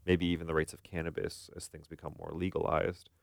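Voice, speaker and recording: Very deep-voiced man in casual discussion. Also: flat delivery, a little fast, vocal fry, dry recording. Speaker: podcast host.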